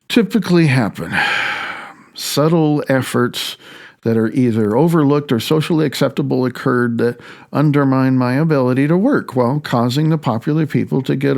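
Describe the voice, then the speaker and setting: A man narrating his own audiobook in a candid, wry way, loosely phrased with asides, halting and then rushing ahead. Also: medium pitch, gravelly, darkish tone. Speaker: author reading own audiobook.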